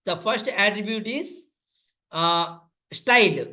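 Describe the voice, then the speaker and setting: A man's voice: high-pitched, takes it slowly, bright, forceful tone. A man instructing in a step-by-step coding tutorial, often repeating and spelling out terms.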